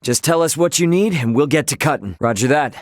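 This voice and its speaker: A man's voice: a little high, lively intonation, fairly quick, vocal fry, no echo, studio quality. An actor voicing a brash, loyal young male spaceship captain in a video game, with an American accent and a casual, cocky, emphatic way of talking. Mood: easygoing, friendly.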